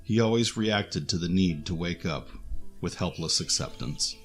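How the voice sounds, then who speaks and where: A man's voice: deep voice, moderate pace, faint music behind. A man reading scripted narration for a fantasy adventure story.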